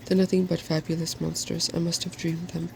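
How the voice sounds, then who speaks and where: A soft-spoken storybook reader voicing a brisk, cheerfully bossy young woman scholar. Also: mid-range voice, flat delivery, vocal fry, a little hiss or hum. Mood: matter-of-fact.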